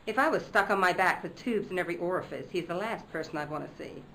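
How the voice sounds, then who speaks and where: An actress voicing a fussy, chatty hospital receptionist in a video game, prim and easily scandalized. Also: female voice, on the low side, fast, a bit bright, slight background noise, rough recording.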